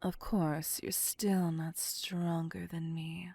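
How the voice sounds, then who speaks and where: A woman playing a possessive, cocky vampire captor in an ASMR roleplay, with a breathy, husky, teasing and domineering whisper. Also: lowish voice, level tone, darkish tone, dry recording, heavily acted. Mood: teasing, smug. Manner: slow, teasing, seductive, domineering. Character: possessive, cocky, sadistic female vampire captor, playfully menacing. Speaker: voice actor performing audio roleplay.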